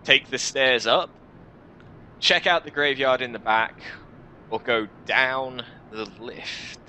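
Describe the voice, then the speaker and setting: A man's voice: mid-range voice, takes it slowly, pushed and bright, slight background noise. A man hosting a gaming video, reading visual novel narration dramatically and adding casual commentary.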